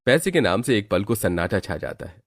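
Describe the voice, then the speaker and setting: A man's voice: on the low side, very animated, fairly quick. A man reading scripted narration for a cartoon moral story as its storyteller. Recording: background music under the voice.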